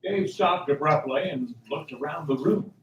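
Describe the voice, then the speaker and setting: A man's voice: a little high, moderate pace, highs cut off. A man narrating a radio drama from a script. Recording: roomy, distant, faint background noise.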